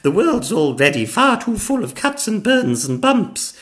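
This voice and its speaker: A kind and plucky little girl princess from a storybook, played by a man. The voice is pitched very high and lilts in an emphatic sing-song, swinging widely in pitch. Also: sing-song, measured pace, a bit bright, heavily acted. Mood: indignant, determined.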